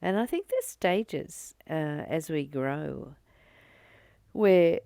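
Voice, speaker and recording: A woman reading a spiritual study text aloud and explaining it informally, in a halting, reflective way with pauses between short phrases. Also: deep voice, animated, creaky, mellow, smooth, dry recording, clean studio sound. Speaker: spiritual study reader and commentator. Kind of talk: slow read-aloud study with informal commentary.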